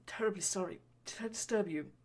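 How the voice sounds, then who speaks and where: A woman voicing a meek, anxious young nobleman who speaks softly and apologetically. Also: medium pitch, fairly quick, breathy edge, voice pushed up. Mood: hushed, ashamed. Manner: soft, apologetic and hesitant.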